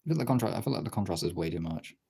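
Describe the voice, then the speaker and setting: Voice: sits low, lively intonation, racing, creaky, dark tone, breathy edge. A streamer chatting casually while drawing, absent-mindedly thinking aloud in half-muttered remarks.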